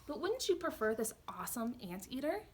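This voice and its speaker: A woman reading a storybook aloud, voicing an eager-to-please male animal shelter keeper in a slightly lowered voice. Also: very animated, fairly quick, darkish tone, slight background noise. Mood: coaxing.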